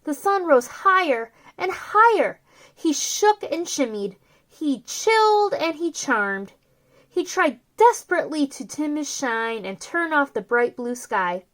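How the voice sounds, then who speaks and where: A woman reading a children's picture book aloud with theatrical, drawn-out emphasis on key words. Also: high voice, very animated, fairly bright tone. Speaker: children's storyteller, host.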